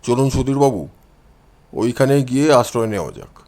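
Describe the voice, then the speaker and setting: A man's voice: mid-range voice, big pitch swings, normal speed, a little mellow, pitched below the performer's own voice. A man voicing a cool, assured amateur detective who gives orders in an audio storybook. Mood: brisk, decisive.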